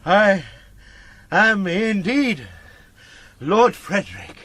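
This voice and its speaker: Proud, declamatory noble knight, high-pitched. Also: male voice, animated, a bit bright, no echo, exaggerated voice. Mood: astonished, grand. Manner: grandly theatrical, halting, declamatory. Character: proud, imperious noble knight, a long-lost father. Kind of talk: period audio drama acting.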